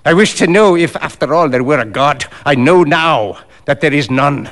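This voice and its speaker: A man's voice: on the high side, expressive, normal speed. A man voicing a bitter, shabby old drunkard in a storybook reading, scornful and defiant, with blunt, declamatory speech. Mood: defiant contempt. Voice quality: loud, forceful cries.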